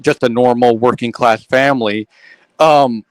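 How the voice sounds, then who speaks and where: A man hosting a casual online group chat, talking fast and often repeating his opening words. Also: medium pitch, fairly even intonation, dry recording, rough recording. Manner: quick, repeats starting words, lots of "um" and "like".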